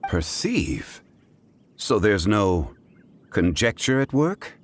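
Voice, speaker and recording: An actor playing a calm, erudite and dignified gentleman in a video game, speaking in a measured, formal way. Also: lowish voice, heavily acted. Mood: intrigued. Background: game music.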